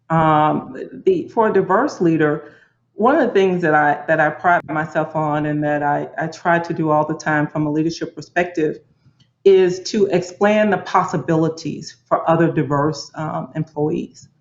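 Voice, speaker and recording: A woman speaking as a panelist in a reflective, deliberate and earnest way. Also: sits low. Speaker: panelist, corporate leader. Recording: roomy, muffled lo-fi audio.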